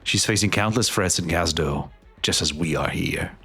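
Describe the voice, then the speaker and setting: A man acting a stern, commanding general in a game fan dub, speaking gravely and formally. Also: low voice, normal speed, pushed and bright, heavily acted. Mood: grim resolve. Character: stern, commanding male general, proud and unyielding. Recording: background music under the voice.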